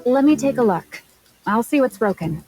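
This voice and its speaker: A woman's voice: fairly high pitch, lively intonation, moderate pace, darkish tone, soft background music. A woman playing a blunt, exasperated young mechanic in a video game, speaking in a dry, scolding manner. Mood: matter-of-fact.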